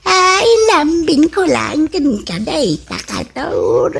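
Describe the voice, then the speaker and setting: A woman playing a giddy, clingy flirt in an Ilocano comedy radio drama, with an Ilocano accent and a giggly, drawn-out teasing delivery. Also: sits high, huge pitch swings, gravelly, exaggerated voice. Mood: playful, excited.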